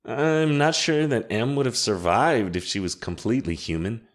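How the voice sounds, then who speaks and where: A man voicing a calm, steady female witch in an audiobook, speaking in a measured, reassuring way. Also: medium pitch, voice pushed up, natural voice. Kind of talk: audiobook character voice.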